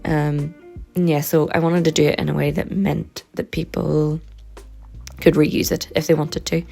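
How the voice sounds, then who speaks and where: A woman talks in a loose, rambling vlog chat, dotting her sentences with ums. Her voice sits low and has a gravelly, croaky edge from hoarseness. Soft music plays faintly behind her. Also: normal speed. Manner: relaxed and rambling, with frequent ums. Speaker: vlogger and sticker and journal maker. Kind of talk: casual chatty vlog.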